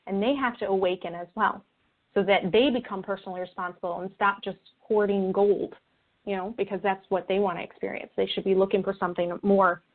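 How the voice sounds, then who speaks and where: A woman speaking as an interview guest in an earnest, conversational way, reflective and halting as she rephrases her thoughts. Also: mid-range voice, fairly even intonation. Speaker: interview guest, spiritual video creator. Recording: tinny phone-like call audio.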